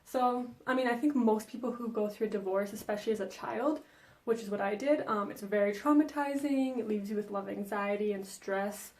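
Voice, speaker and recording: A woman's voice: mid-range voice, fairly quick, a little mellow. Chatty young woman vlogger, echoey room. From Denmark. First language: Danish. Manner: chatty, frequent ums and likes. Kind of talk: casual Q&A vlog.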